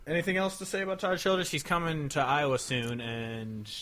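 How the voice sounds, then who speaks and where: A man's voice: on the high side, steady tone, a little fast, a bit bright. A man co-hosting a music podcast in a casual, informal and agreeable way. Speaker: podcast co-host.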